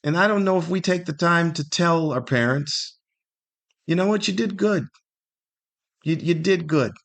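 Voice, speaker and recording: A man's voice: on the high side, a little slow, fairly bright tone. A middle-aged American man hosting a solo podcast, talking in a casual, rambling, conversational way and sometimes acting out imagined remarks. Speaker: podcast host and comedian.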